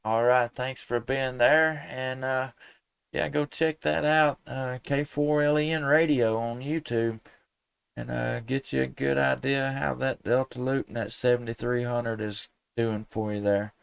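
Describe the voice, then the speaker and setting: A man talks casually as a ham radio operator hosting a stream. His voice is mid-pitched, smooth and very dry in the recording. He is folksy and unhurried in manner, pausing to think as he goes. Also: steady tone, no room sound. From United States. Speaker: amateur radio operator, live stream host. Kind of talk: casual radio contact chat.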